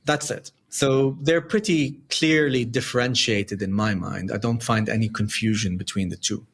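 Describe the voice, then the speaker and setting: Measured middle-aged man with light Dubai accent. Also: mid-range voice, fairly bright tone. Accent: light Dubai English. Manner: measured, explanatory, builds points in short phrases. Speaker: podcast guest, company founder. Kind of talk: interview answers.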